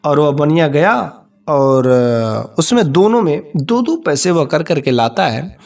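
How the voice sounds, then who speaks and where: A man's voice: on the high side, expressive, slow pace, a little mellow. A man telling a moral folk tale informally, with conversational, repetitive phrasing. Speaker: storyteller.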